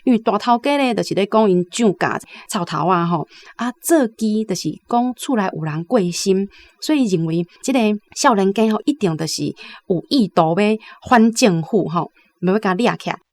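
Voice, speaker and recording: A woman's voice: mid-range voice, lively intonation, normal speed, a little mellow. A woman with a Taiwanese accent, hosting and retelling a story conversationally, often ending phrases with tag particles. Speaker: host.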